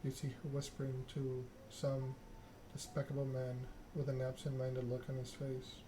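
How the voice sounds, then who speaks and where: Man narrating in a flat ASMR style, noisy background. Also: mid-range voice, moderate pace. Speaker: video game player reading the story aloud. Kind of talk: ASMR game read-along, narration with dialogue.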